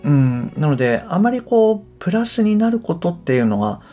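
A middle-aged man hosts a casual solo podcast in a reflective, tentative way. His pitch rises and falls in a lively pattern. Soft guitar music plays behind his voice. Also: a little fast. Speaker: podcast host and English coach.

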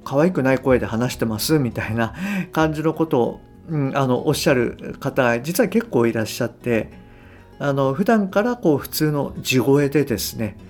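A middle-aged man talking unscripted as a podcast host and coach, easygoing and unhurried, explaining things to the listener with gentle emphasis and hesitant phrasing. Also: on the high side. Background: guitar music.

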